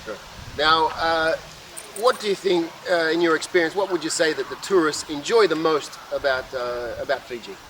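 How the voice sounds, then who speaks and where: A man's voice: on the high side, moderate pace, a bit bright. A man hosting an interview in an informal, colloquial way, introducing and asking questions. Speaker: show host and interviewer. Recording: distant mic with clear urban outdoor noise.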